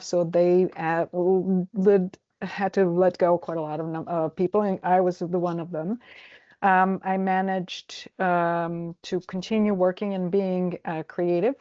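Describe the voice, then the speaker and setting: A woman in her forties from Croatia with a Croatian accent, answering interview questions in a halting way with many fillers and restarts. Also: fairly low pitch, level tone, warm tone, no echo, muffled recording. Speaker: travel agent. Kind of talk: casual interview.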